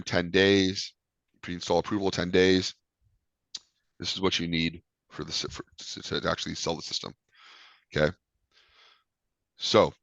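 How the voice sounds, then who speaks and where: A man's voice: low voice, a bit bright, no room sound, lo-fi sound. A man with a cold leading a sales training call, talking loosely with many ums. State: sick with a cold.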